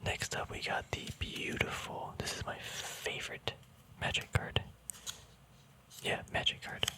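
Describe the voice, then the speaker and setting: A man speaking in a soft, unvoiced whisper, relaxed and chatty, hosting a casual ASMR walkthrough. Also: a little slow. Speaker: ASMR video host.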